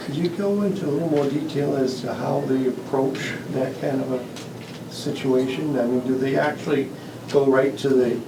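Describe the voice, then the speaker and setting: A male audience member puts casual questions to a speaker. His mid-pitched voice carries a strong room echo and comes from some distance, with noticeable noise behind it. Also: normal speed, creaky, hardly any pauses. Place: club meeting room. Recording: echoey room, distant mic, background noise.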